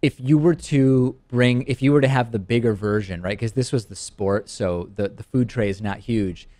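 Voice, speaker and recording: A man's voice: mid-range voice, moderate pace, dark tone, dry recording. A man in his thirties hosting a talk show in a studio, chatting enthusiastically about a gadget and teasing his co-host. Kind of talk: casual product review chat. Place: TV studio set. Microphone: studio mic on a stand.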